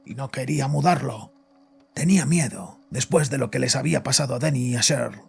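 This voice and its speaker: A guilt-ridden father in a horror audio drama, his voice very creaky and badly frayed. He confesses in a bitter, frightened, halting way, with music running underneath. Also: medium pitch, heavily acted. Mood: grim, defensive. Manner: agitated, halting, haunted confession. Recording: voice over background music.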